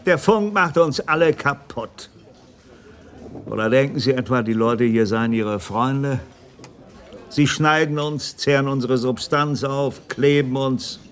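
A man's voice: medium pitch, a bit bright. An actor playing a melancholy, bitter male poet in a radio play, declaiming theatrically. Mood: bitter warning. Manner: slow, declamatory. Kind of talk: German radio play acting.

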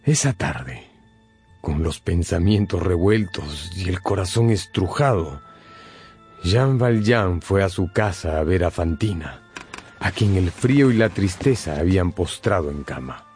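Animated low-voiced male storyteller. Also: measured pace, faint music behind. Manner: storytelling, dramatic emphasis. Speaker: narrator, storyteller. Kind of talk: expressive radio drama narration.

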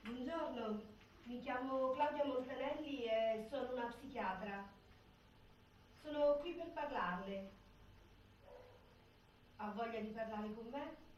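An actress playing a composed, professional prison psychiatrist, speaking politely and with measure on stage. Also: female voice, on the high side, slow, long pauses, darkish tone. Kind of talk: theatrical monologue. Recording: echoey stage from far off, faint noise.

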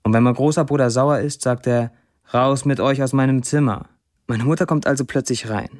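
An actor playing a brash young Syrian writer, delivering an intimate first-person monologue in a radio drama. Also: male voice, medium pitch, fairly even intonation, fairly quick, close to an everyday voice. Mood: candid, intimate. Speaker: lead actor reading a writer's status posts.

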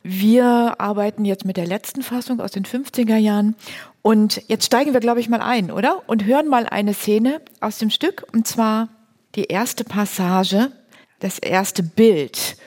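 A woman's voice: medium pitch, measured pace, darkish tone. A woman hosting, introducing material in a conversational way. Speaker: host.

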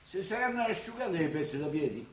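A man's voice: high-pitched, very animated, fairly quick. An actor playing a stubborn, grumbling old grandfather with a Roman accent in a radio play. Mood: wheedling. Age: older. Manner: grumbling, wheedling. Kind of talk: radio theatre acting in dialect. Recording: roomy, faintly noisy stage recording.

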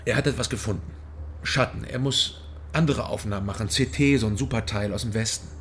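A man's voice: fairly low pitch, fairly quick, a bit bright, slight background noise. An actor playing a guilt-ridden male ex-soldier in a radio drama, brooding and pleading. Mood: anxious. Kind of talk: radio drama acting and inner narration.

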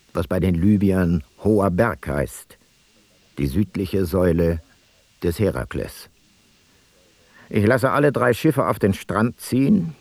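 Low, husky ancient seafaring commander. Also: male voice, a little mellow, dry recording, heavily acted. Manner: terse, matter-of-fact. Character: ancient Greek seafarer, sober commander keeping his logbook. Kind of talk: radio play, measured logbook narration.

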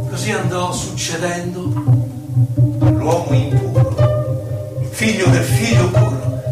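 A man giving a solemn, theatrical poetry recital for radio, stretching out his phrases dramatically. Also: high voice. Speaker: poetry performer. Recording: echoey distant recital under loud music. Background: loud music.

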